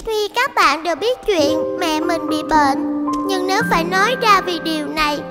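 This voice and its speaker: A woman voicing a polite, shy little schoolgirl in a dubbed cartoon, bright and lively. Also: very high voice, moderate pace, in a large hall, over loud music. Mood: worried. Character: cheerful shy schoolgirl, about ten. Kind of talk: cartoon dubbing.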